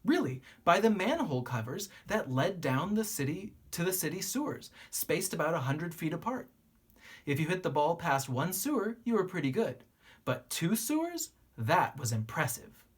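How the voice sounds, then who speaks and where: Storybook narrator for young listeners: lively, swooping pitch, lightly husky tone, teacherly read-aloud delivery. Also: moderate pace. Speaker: teacher reading a picture book aloud.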